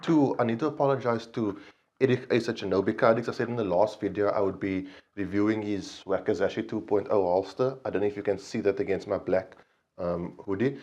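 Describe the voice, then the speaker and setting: A man's voice: on the low side, fairly quick, a little mellow, lo-fi sound. A man, slightly nasal from a cold, earnestly explaining his gear to the camera in a casual review. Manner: earnest and explanatory, frequent ums, hand gestures. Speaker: vlog host reviewing gear. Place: small indoor room against a plain wall.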